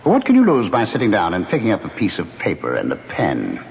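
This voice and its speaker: A man's voice: on the low side, huge pitch swings, a little fast, gravelly, heavily acted. An actor playing a sly, coaxing male ghost who haunts a TV set, in a radio drama. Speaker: ghost of a jealous producer. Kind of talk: 1970s radio drama acting. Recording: lo-fi old radio broadcast.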